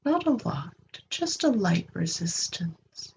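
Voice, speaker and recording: Slow, creaky-voiced woman guiding meditation. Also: on the low side, big pitch swings. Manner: gentle, unhurried, with long pauses between short phrases. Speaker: guided meditation host. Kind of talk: guided meditation, slow soothing instruction. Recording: dull lo-fi recording with clear background noise.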